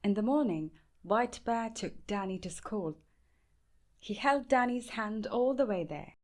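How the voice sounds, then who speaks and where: A woman with an Indian accent reading a children's bedtime story aloud in a measured, expressive way. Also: medium pitch. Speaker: storybook reader.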